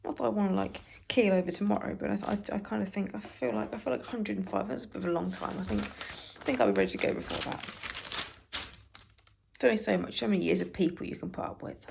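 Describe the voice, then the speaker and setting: British woman, soft spoken asmr, super gravelly raspy voice, bit of background hiss, wry little asides. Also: medium pitch, lively intonation, quick pace. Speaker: ASMR creator.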